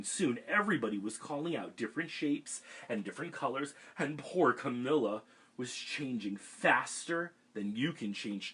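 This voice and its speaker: Husky man reading a kids' picture book. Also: mid-range voice. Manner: gentle, chatty asides to kids. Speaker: storytime reader for children. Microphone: webcam livestream.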